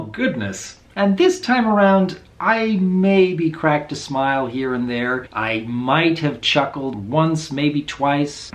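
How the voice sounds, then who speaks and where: A reviewer giving casual, wry commentary on animated episodes. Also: medium pitch.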